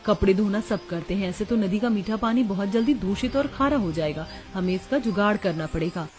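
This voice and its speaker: A woman's voice: mid-range voice, a little fast, a little mellow, close to an everyday voice. A woman playing poor, dutiful and resourceful village housewives in a cartoon story. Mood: thoughtful. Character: poor village women, dutiful resourceful daughters-in-law and scolding mother-in-law.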